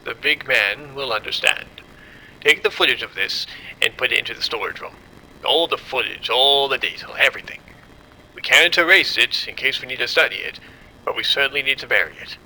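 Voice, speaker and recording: A man acting as a cold, authoritative scientist in a horror audio drama. His gravelly, very forceful voice is grave and deliberate, with a faint low rumble behind it. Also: expressive, low-quality recording. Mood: grim resolve. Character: cold, commanding male doctor covering up a disaster. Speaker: lead scientist.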